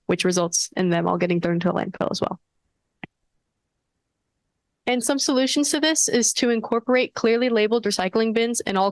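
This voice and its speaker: A woman's voice: medium pitch, dry recording. A teenage girl giving an earnest, prepared student presentation at a board meeting.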